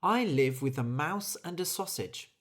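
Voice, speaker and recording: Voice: mid-range voice, animated, unhurried. A reader doing a weary hardworking bird. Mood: explaining. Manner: earnest, complaining. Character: hardworking female bird, tired and fed up.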